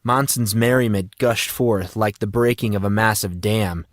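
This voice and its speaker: A man's voice: lowish voice, fairly even intonation, normal speed, no echo, studio quality. A man reading a story aloud as a scripted, dramatized audiobook narration.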